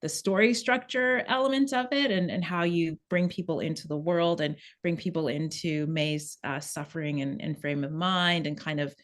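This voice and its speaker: A woman's voice: deep voice, fairly even intonation, normal speed, few pauses. Diplomatic woman moderating a panel. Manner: articulate, diplomatic. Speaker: discussion moderator. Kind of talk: unscripted panel discussion.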